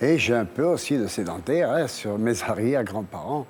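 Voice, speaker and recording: A man appearing as a talk show guest, answering in measured, hesitant sentences. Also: mid-range voice, lively intonation, darkish tone, slight background noise. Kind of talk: studio interview answers. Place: television studio.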